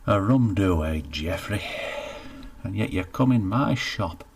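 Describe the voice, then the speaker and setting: A man's voice: low voice, animated, measured pace, creaky, slightly rough, heavily acted. A man voicing a bad-tempered baker, blunt and scolding, cursing freely.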